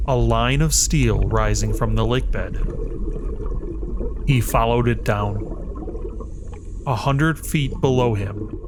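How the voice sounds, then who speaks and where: A man with a medium-pitched voice narrates a scripted suspense tale over prominent, loud music. He speaks very slowly and deliberately, breaking phrases with dramatic pauses.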